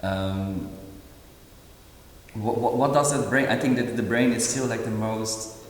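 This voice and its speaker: A very deep-voiced man in his forties talks haltingly, with lots of fillers and restarts. He was born in Indonesia and answers interview questions as an artist. The recording comes from a large room with a strong echo, a long reverb and a faint hiss. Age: 40s. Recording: echoey hall with faint noise.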